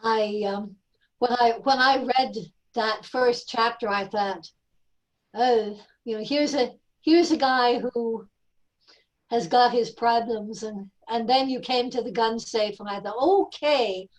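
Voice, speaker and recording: Woman, slightly high-pitched, off-mic, casual book-talk chat. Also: slow pace. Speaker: participant asking questions at a book talk. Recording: distant from the mic.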